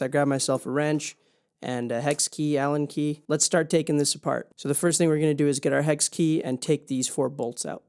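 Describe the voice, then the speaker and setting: A man's voice: on the high side, a little mellow. A man hosting a casual hands-on explainer video, speaking in an informal, plain-spoken way. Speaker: video host.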